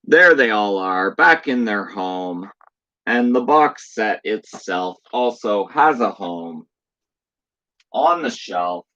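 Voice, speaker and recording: A man's voice: lowish voice, expressive, slow pace, bright, forceful tone, no room sound, highs cut off. A man hosting a casual video chat, reading box blurbs aloud and talking about his collection while losing his voice. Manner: reads box blurbs aloud in a chatty, offhand way. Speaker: channel host. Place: room at home.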